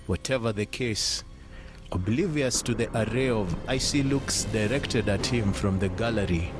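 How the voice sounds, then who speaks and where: Male narrator, dramatic scripted storytelling, unhurried, widely swinging intonation, suspenseful, music bed. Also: medium pitch. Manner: theatrical, measured, suspenseful.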